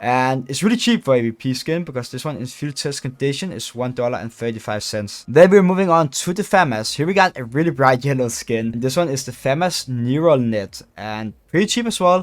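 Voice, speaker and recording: A young man with a Danish accent, a gaming video creator giving casual, chatty voice-over commentary with many fillers. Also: mid-range voice. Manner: relaxed and chatty, many fillers, laughs at own pronunciation.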